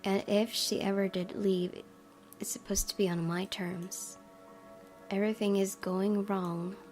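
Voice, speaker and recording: A woman game streamer reading a visual novel aloud as expressive narration. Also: mid-range voice, unhurried, soft background music.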